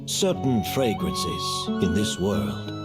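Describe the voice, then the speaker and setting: Refined male master perfumer, brooding, slow and formal, very expressive pitch, loud music behind. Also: exaggerated voice. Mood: instructive, wistful. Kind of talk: video game character acting.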